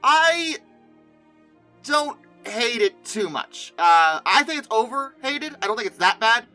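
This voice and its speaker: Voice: medium pitch, animated, slow pace, sharp tone, soft background music. A video host giving casual, opinionated commentary in a rambling, self-correcting, colloquial way. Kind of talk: casual commentary on film dinosaurs.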